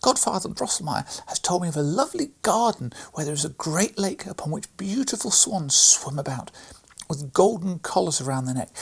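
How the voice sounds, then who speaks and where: A man voicing an excited seven-year-old girl in a storybook reading, speaking eagerly and breathlessly. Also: fairly high pitch, vocal fry, slightly rough, voice pushed up, full character voice.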